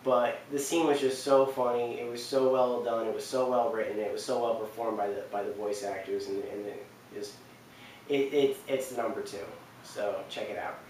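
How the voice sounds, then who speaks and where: A man's voice: mid-range voice, fairly even intonation, normal speed, darkish tone. A man hosts an unscripted game countdown with rambling enthusiasm. He sounds far from the mic in a strongly reverberant room, with faint noise underneath. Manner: enthusiastic, rambling, repeats himself for emphasis. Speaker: video countdown host.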